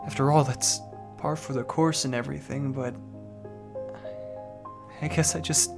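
A man playing a young, downcast, earnest rookie cop in an audio drama, halting and unsure of himself. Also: medium pitch, fairly even intonation, gravelly, over music. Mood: downcast, grieving. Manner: halting, unsure, soft-hearted.

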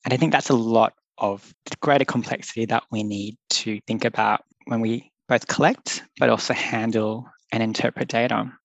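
An earnest young man talks through his ideas with loose, thoughtful phrasing and the odd laugh. His voice sits at a middling pitch. The recording is dry and close, with no room sound. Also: fairly even intonation, normal speed, creaky, hardly any pauses, no echo, low-quality recording. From Australia. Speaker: public health doctor, guest. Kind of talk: conversational podcast interview.

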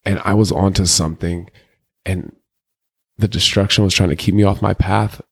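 Deep gravelly-voiced man telling stories. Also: fairly even intonation, moderate pace, fairly bright tone. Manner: loose, slangy, rambling storytelling. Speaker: rapper and interview guest. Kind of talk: casual candid interview talk.

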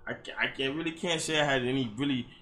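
A man's voice: medium pitch, fast, sharp tone. A man born in Haiti, a rapper guest on a podcast, talking in a laid-back, earnest way. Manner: laid-back, earnest, many "you know what I'm saying". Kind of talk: casual podcast chat.

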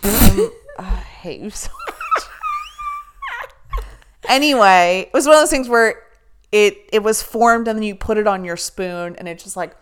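A woman co-hosting a podcast, chatting casually about a restaurant meal, loud and animated, swearing freely. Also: medium pitch, unhurried, long pauses, slight background noise. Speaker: podcast co-host. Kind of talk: casual restaurant review chat.

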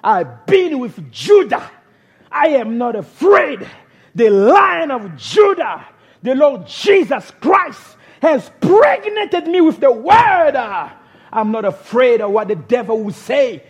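A woman's voice: high-pitched, sing-song, slow, slightly rough, full character voice. A man voicing a bold, assured young biblical woman who declares herself unafraid. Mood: defiant assurance. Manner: defiant, declaiming.

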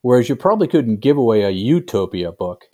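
A man's voice: mid-range voice, very animated, brisk, dark tone. An older man being interviewed as an author, speaking conversationally and often checking in with the listener. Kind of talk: casual interview chat.

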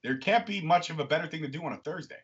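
A man's voice: fairly high pitch, racing. A young man hosting a wrestling podcast, chatting casually and enthusiastically with a guest and swearing freely. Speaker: podcast host. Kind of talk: casual interview chat. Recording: slightly dull lo-fi audio.

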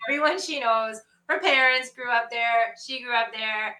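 A woman's voice: fairly high pitch, normal speed, sharp tone. Chatty Canadian woman in an echoey room. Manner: chatty, laughs often, imitates others' voices. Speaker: author, podcast guest. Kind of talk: casual conversation. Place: bomb shelter. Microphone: video call.

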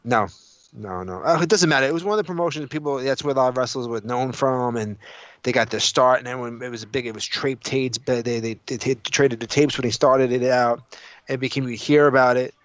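A man's voice: medium pitch, brisk, a bit bright, dry recording. Man rambling casually, dry dull audio. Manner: informal, sweary, rambling. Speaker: podcast co-host. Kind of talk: casual chat, storytelling.

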